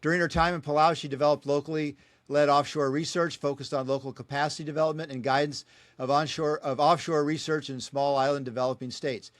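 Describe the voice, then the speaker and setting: A man's voice: fairly high pitch, level tone, fast, no echo. Dry-sounding podcast host, a man. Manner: friendly, chuckles at his own asides. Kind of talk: reading a guest introduction, then casual interview.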